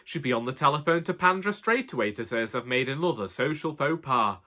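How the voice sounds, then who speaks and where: A man's voice: mid-range voice, animated, a little fast, sharp tone. A man voicing a snobbish older housewife from the North of England in a comic story, pompous, over-proper and scolding. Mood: indignant.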